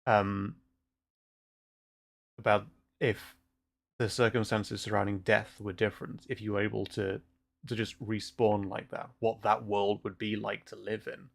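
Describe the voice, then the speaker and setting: A man hosting a casual livestream chat, speaking haltingly with repeated words and frequent chuckles. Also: fairly low pitch, level tone, vocal fry. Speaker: livestream host.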